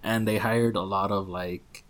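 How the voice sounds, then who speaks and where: A man hosting a casual interview, relaxed and playful, who drops Spanish words into his talk. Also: lowish voice, unhurried. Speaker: podcast host.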